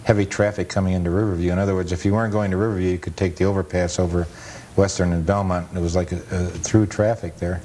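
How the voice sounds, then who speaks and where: Low, mellow American man explaining plainly. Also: level tone, quick pace. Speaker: interview guest, photo historian and author. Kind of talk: casual explanatory talk. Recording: noisy 1980s cable TV recording.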